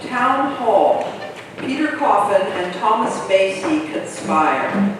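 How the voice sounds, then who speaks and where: A man's voice: sits high, very animated, slow, exaggerated voice. A man playing the plain scene-setting narrator of a staged play, recorded from far off in a noisy, echoey hall. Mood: matter-of-fact. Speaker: stage-direction reader. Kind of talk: scripted narration, announcing scenes. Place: live stage in a reverberant hall.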